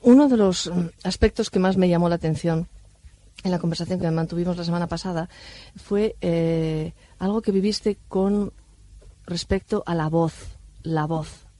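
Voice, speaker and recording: A woman hosting a night radio show, asking gentle probing questions. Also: lowish voice, fairly even intonation, normal speed, dry recording. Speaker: radio host.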